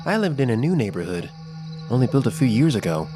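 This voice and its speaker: A man narrating a scripted first-person children's story in an expressive, dramatic storytelling manner. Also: mid-range voice, fast, over music.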